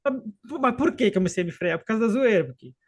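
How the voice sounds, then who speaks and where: A man's voice: high-pitched, animated. Man with a faint gaúcho accent. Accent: faint southern Brazilian gaúcho accent. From Rio Grande do Sul, southern Brazil. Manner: unhurried, halting, with frequent restarts and trailing asides. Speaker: podcast guest and co-host. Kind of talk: casual storytelling in a podcast chat.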